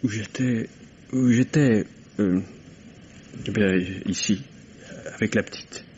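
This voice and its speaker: An actor playing a distraught, bitter young French lover under suspicion in a 1950s-style radio drama, with hesitant, broken phrasing. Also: male voice, fairly low pitch, takes it slowly, darkish tone. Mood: lost, hesitant. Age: young adult. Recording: dull, noisy vintage radio recording.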